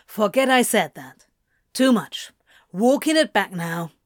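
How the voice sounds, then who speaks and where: A woman's voice: medium pitch, lively intonation, a little slow, no room sound. Woman acting a defensive supernatural male, muttering, snappish, evasive, foul-mouthed, storybook character, close dry recording. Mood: anguished, retreating. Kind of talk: fan fiction audiobook character voice.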